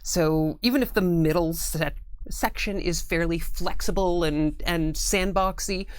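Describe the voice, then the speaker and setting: A woman's voice: lowish voice, unhurried, a little mellow, clean tone. A woman chatting casually as an interview guest, with a wry, joking way of talking. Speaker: guest game writer.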